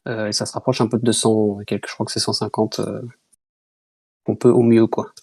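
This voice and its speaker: A man's voice: on the low side, level tone, brisk, creaky, dark tone, breathy edge, dry recording. A man, founder of a small online project, talking in casual voice chat with lots of fillers and restarts. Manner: hesitant, many fillers and restarts. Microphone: computer mic on a voice chat.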